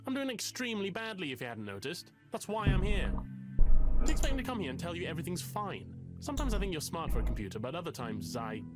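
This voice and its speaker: A man's voice: high-pitched, very animated, fast talker. An actor in a visual novel playing a self-loathing, melodramatic young academic, wordy and self-mocking in his delivery. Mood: irritated, wounded. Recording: music under the voice.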